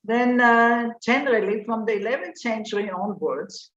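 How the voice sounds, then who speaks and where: A woman from Austria giving an academic paper presentation over a video call, explaining in a measured way with some fillers. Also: fairly high pitch, a bit bright. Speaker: conference speaker. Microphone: computer mic on video call. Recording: roomy lo-fi video call audio with faint noise.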